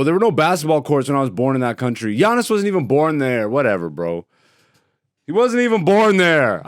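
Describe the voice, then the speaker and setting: A man's voice: mid-range voice, smooth, dry recording, studio quality. A man hosting his own podcast solo, chatting in a laid-back, joking and profane way, rambling from topic to topic. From Greece. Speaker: podcast host. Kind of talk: casual solo podcast chat.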